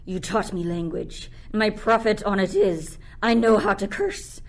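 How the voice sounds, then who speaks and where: A woman's voice: mid-range voice, measured pace, close to an everyday voice. Young woman as bitter, cursing island monster. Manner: declamatory, resentful. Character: Caliban, bitter cursing enslaved island monster, witch's offspring. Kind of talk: radio play, Shakespearean verse.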